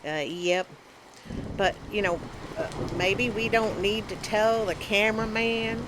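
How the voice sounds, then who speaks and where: A woman's voice: medium pitch, fairly even intonation, takes it slowly, noisy background, natural voice. A woman voicing a timid, good-natured male puppet sidekick with a hesitant, folksy, nervous way of talking.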